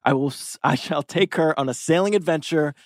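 A man's voice: on the high side, a little fast, dry recording, clean studio sound, natural voice. A man reading a wordy, lovesick teenage boy with big schemes, theatrical and rambling, at a TV drama table read. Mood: excited scheming.

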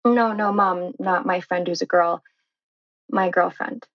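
A woman playing a defiant, frank teenage daughter, blunt and deliberate, in a TV teen drama table read. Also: on the low side, steady tone, no echo. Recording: dull, lo-fi microphone.

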